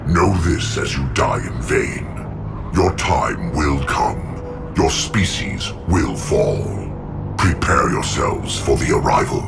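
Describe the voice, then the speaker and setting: An actor voicing an ancient, menacing alien machine overlord in a video game, imperious, ominous and coldly contemptuous. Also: male voice, rumbling low, animated, slow, music in the background, exaggerated voice. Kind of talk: video game villain monologue.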